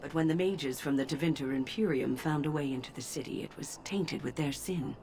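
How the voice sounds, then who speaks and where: A low-voiced, wise old female mage, voiced by a woman from a fantasy video game. She speaks in a measured, formal, gently lecturing way. A faint hiss sits under the voice. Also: close to an everyday voice. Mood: calm. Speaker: game character.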